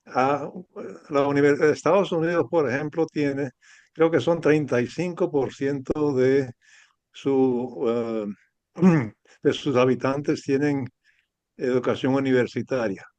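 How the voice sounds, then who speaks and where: A man from the audience asking a question in a measured, thoughtful way. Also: medium pitch, fairly even intonation, darkish tone, dry recording.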